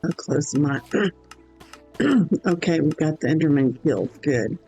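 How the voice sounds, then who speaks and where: A man with a slightly high voice talks unhurriedly while he plays, his hoarse voice cutting in and out. He rambles with many pauses and ums. Faint music plays behind him. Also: mellow. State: hoarse, voice going in and out. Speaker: streamer. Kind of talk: casual gaming stream chat.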